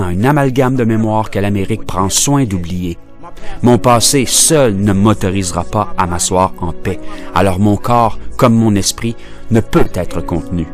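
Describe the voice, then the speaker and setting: A man performing spoken-word poetry in a declamatory, impassioned and deliberately phrased oratorical delivery, with music behind him. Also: fairly low pitch.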